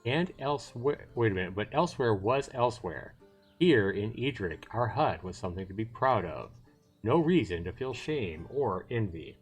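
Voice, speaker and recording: A man with a fairly low voice hosts a game livestream. He reads story text aloud and chats casually about it, thinking out loud. Soft music plays behind him. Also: husky. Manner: chatty, thinks aloud between read passages. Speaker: livestream host. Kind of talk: reading a visual novel aloud with casual commentary.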